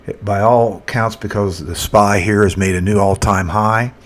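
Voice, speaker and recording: A man presenting a casual, unscripted chart commentary as a market analyst, thinking aloud in a halting way. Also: on the low side, fairly even intonation. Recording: noticeable background noise.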